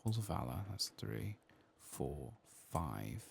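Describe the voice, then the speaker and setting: Soft-spoken gravelly man, ASMR gaming. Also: low voice, slow pace, slight background noise. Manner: quiet, relaxed, murmuring to himself. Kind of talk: soft-spoken ASMR game playthrough commentary.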